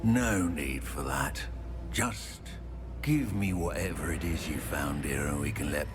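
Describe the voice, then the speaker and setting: Deep, menacing goblin villain. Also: male voice, over music. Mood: smug menace. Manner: slow, sardonic, threatening. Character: menacing, smug goblin villain, adult male. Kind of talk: video game cutscene acting.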